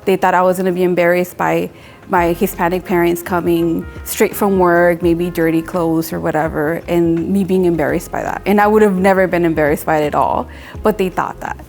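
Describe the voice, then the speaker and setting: A young adult woman from Mexico, a tattoo artist, talking casually in a relaxed, rambling way with lots of filler words. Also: lowish voice, moderate pace, gravelly, faint music behind. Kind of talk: casual interview monologue.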